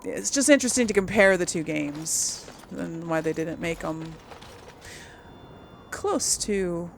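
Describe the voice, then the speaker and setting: A woman's voice: lowish voice, slow, creaky, slight background noise. A woman giving casual gameplay commentary, thinking aloud and rambling as she plays. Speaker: gaming video host and player. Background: game ambience.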